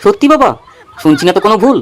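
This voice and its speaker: A man acting out a naive comic cartoon buffoon, earnest and a little plaintive. He talks very fast in a slightly high voice, with faint noise behind him. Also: lively intonation. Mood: grateful. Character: gullible, hopeful bald man, comic buffoon.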